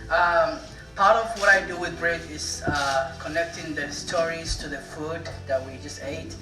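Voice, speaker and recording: A man organizing a community lunch, introducing speakers in an easygoing way with many filler pauses. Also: fairly high pitch, fairly even intonation, far from the mic. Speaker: event organizer. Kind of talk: hosting remarks to an audience. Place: large room at a community lunch. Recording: echoey room, voice from afar, loud music.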